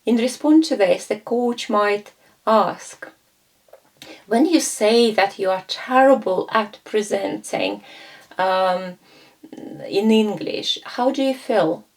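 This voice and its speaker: A woman instructor walks through a coaching lesson in a measured, explanatory way. She acts out short exchanges between coach and client, pausing on fillers and correcting herself as she goes. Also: mid-range voice, creaky. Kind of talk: lecture with role-play examples.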